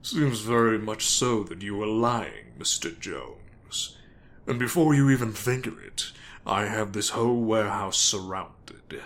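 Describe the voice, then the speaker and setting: An actor playing a commanding mob boss, formal and measured with quiet threat, in a dramatic audio role-play. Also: male voice, on the low side, expressive. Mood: cold authority.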